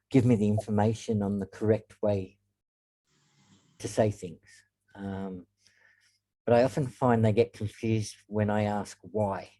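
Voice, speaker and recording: Dark-voiced man, unhurried webinar talk. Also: on the low side, low-quality recording. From Australia. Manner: reflective, frequent ums and pauses. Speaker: guest speaker. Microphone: video call.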